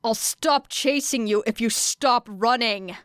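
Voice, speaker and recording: A woman voicing a gruff, exasperated man in pursuit, calling out with a strained, forceful delivery. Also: fairly high pitch, slow pace, no room sound, studio quality. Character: gruff, exasperated adult male hero chasing a runaway.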